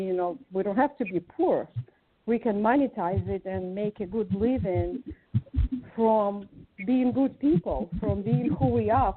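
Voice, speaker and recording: A woman from Ukraine speaking as a guest on a group call, sharing personal reflections in an earnest, hesitant way with pauses between short phrases. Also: medium pitch. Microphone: phone call. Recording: tinny, band-limited phone line.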